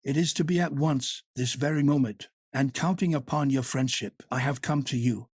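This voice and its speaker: Man putting on a coaxing desperate young woman, raised husky voice, imploring, audiobook character. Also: medium pitch, normal speed, no echo, clean studio sound, natural voice. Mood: urgent. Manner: emotional, imploring.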